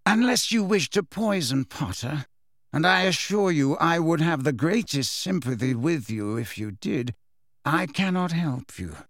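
A man voicing a cold, disdainful middle-aged male professor who speaks slowly and smoothly in an audiobook reading. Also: a little high, animated, a bit bright, no room sound, studio quality. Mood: coldly smooth.

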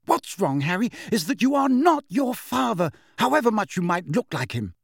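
A man's voice: high-pitched, sing-song, moderate pace, dry recording, studio quality, pitched above the performer's own voice, full character voice. A man voicing a fierce, anxious middle-aged mother in a storybook, indignant and scolding.

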